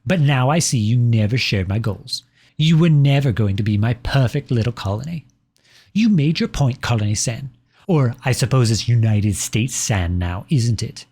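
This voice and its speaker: A man's voice: mid-range voice, animated, moderate pace, darkish tone, breathy edge. A man playing a pompous, jilted personification of Britain in a comedic audio roleplay, reading formal letters with petulant indignation. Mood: bitter, wounded pride.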